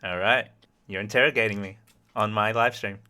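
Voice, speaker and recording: A man's voice: fairly low pitch, steady tone, brisk, sharp tone, clean tone. A man with a light Australian accent, chatting easily about himself while being interviewed on a livestream, laughing often. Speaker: host.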